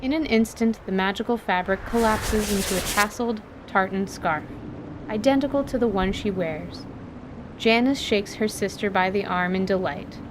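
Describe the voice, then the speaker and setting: A woman's voice: medium pitch, normal speed, background noise. A woman giving scripted, dramatic narration of a fantasy story as its narrator.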